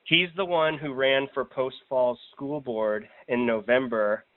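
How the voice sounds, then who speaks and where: Tinny telephone audio, American man, confident and informal, medium-pitched voice, organizer explaining in casual conversation. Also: fairly even intonation, a little slow. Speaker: political organizer explaining a plan. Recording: tinny recorded phone call.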